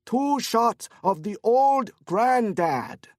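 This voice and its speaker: Tipsy, loud older businessman, man doing the voice, slurred and high, dry close mic. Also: animated, takes it slowly, no echo. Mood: loud, apologetic. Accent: put-on Korean accent. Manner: slurred, loud, overly eager. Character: drunk older male Korean businessman, cheerful, slurring. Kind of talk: audiobook character voice.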